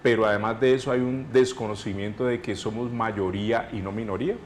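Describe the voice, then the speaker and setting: Respectful low-voiced male interviewer. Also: fairly even intonation, brisk, slight background noise. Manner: respectful, many short affirmations. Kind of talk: interview questions.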